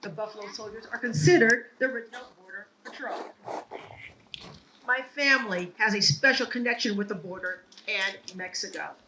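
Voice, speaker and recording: A woman giving a prepared speech at a ceremony, telling her family's history in a measured, earnest way. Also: mid-range voice, pushed and bright, distant mic, slight background noise, rough recording. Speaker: ceremony speaker. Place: outdoor ceremony.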